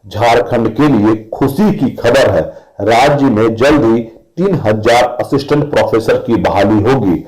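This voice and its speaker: A man reading a scripted district news report for radio in a measured, formal way. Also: medium pitch, a little mellow, low-quality recording.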